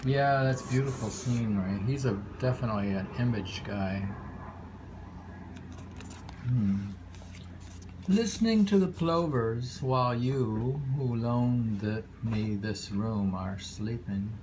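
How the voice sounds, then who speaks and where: A man reading haiku aloud in a deliberate, musing way, pausing between poems and adding casual asides. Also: medium pitch, darkish tone, low-quality recording. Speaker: poetry reader. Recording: very audible background noise, homemade recording.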